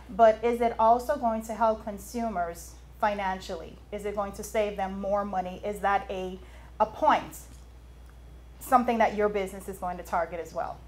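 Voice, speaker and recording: A woman from the Bahamas speaking as a judge in a pitch competition, giving feedback and questions in a deliberate, emphatic way.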